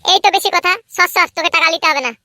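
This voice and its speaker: Shrill, fast-talking cocky cartoon boy. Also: bright, forceful tone, slight background noise, heavily acted. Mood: offended. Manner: bragging, bossy, wheedling. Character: boastful, sly village boy Liltu flaunting stolen cash. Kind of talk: comedy cartoon dub.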